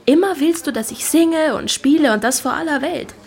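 An actress in a German radio drama playing a witty, spirited young gentlewoman, playful, teasing and self-possessed. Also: high voice, normal speed. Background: music.

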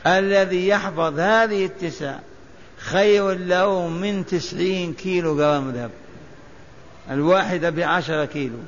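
A man from Algeria teaching as a religious scholar. He chants short memorized lists in a drawn-out, sing-song recitation and has his listeners repeat after him. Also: high voice. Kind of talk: lecture teaching by recitation and repetition. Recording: echoey hall, dull lo-fi sound, faint hiss.

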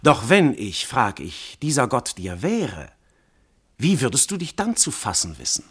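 An actor playing an amorous, commanding god disguised as a husband, speaking classical verse solemnly and intensely in a radio drama. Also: male voice, medium pitch, huge pitch swings, measured pace, a bit bright, slightly rough, exaggerated voice. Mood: probing, insistent.

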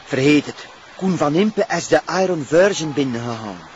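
A man's voice: a little high, expressive, moderate pace, natural voice. An actor playing a grumbling, fretful policeman on a tail in a Flemish crime drama, with a Flemish accent. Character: fretful, grumbling policeman André tailing a suspect. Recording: dull sound, noisy background, clicks.

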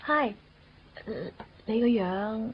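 A woman's voice: a little high, slow, husky. A woman acting a composed, understanding young girlfriend in a radio drama, with a Hong Kong Cantonese accent. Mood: friendly, polite. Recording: muffled radio drama recording with music.

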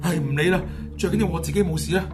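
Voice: mid-range voice, rapid-fire, lightly acted. An actor playing a timid but outspoken teenage schoolboy, exclamatory and pleading, in a Hong Kong Cantonese radio drama. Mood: hesitant. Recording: music behind the voice.

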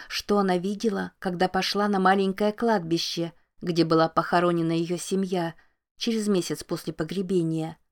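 A woman narrating a novel in a calm, measured reading voice. Her voice sits fairly low and stays steady, with very little rise and fall in its melody. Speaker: audiobook narrator.

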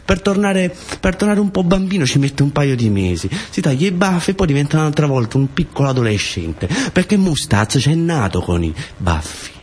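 A man's voice: on the high side, sing-song, fairly quick, hardly any pauses, a little mellow, breathy edge. A man with a southern Italian accent narrating a colloquial theatrical monologue, with conversational asides and repetitions. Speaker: storyteller and stage actor.

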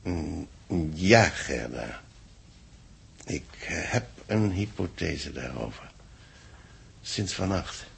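A measured, thoughtful male chronicler with a deep, creaky and very husky voice. He speaks deliberately, in the style of a 1960s radio serial on an old recording. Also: expressive, heavily acted. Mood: musing. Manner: musing, deliberate. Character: thoughtful, measured man reviewing and narrating a space expedition. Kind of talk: 1960s radio drama acting.

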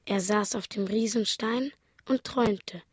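Woman as hushed, wondering village youngster. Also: medium pitch, a little slow, natural voice. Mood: hushed, pensive. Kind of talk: radio play acting, inner voice. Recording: studio with faint music behind.

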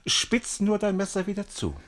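A man's voice: high-pitched, sing-song, moderate pace, a bit bright. A man playing a scheming, imperious duke with a sardonic manner in a German radio play. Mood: cold, commanding. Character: scheming, haughty duke and general, cold conspirator.

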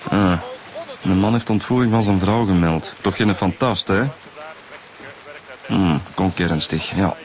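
A man playing a patient, methodical police inspector in a naturalistic radio drama, with a Flemish accent and measured, informal questioning. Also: lowish voice. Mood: casual, brisk. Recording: music behind the voice.

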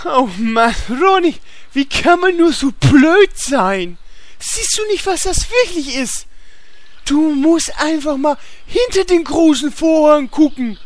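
A man voicing an excitable, paranoid, dim-witted male adventurer in a German comedy fantasy audio drama, rambling in alarm. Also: very high-pitched, slow, dry recording, full character voice.

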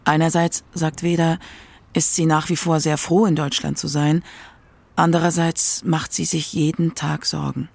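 A narrator reading a scripted radio documentary in a measured, prepared way. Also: mid-range voice, level tone, vocal fry.

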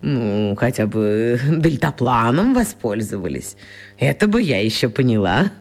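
A woman's voice: rumbling low, big pitch swings, measured pace, a little mellow, soft background music, exaggerated voice. An actress playing a chatty, eccentric elderly woman pensioner with a genteel, coquettish manner in a children's radio play. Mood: teasing reproach.

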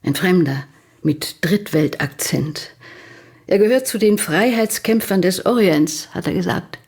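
Low, husky, composed woman. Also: expressive, a little mellow, full character voice. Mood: controlled concern. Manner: controlled, deliberate, insistent. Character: composed, strong-willed female client of a detective. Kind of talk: German radio play acting.

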